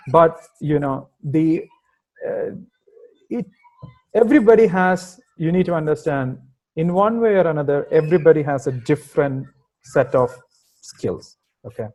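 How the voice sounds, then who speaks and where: A man from India speaks with an Indian accent tinged with American, his voice a little on the higher side. He answers questions haltingly, full of fillers, then presses his points with emphatic asides. He is heard through a video call from an indoor room. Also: a little mellow, poor audio. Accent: Indian with some American. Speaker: guest software engineering manager.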